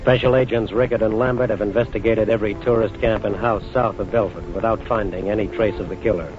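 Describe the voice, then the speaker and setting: A solemn male narrator tells a 1940s radio crime story. His voice is on the low side and his delivery is formal. The old recording is dull, and music plays clearly behind him. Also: steady tone, quick pace.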